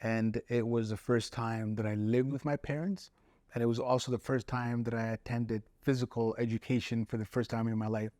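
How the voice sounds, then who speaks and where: A man's voice: medium pitch, level tone, moderate pace, dark tone, no room sound, studio quality. A man in his forties from Somalia, raised in Italy and Canada, talking reflectively as an interview guest. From Somali-born, raised in Italy and Canada. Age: 40s. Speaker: podcast guest, spoken-word poet.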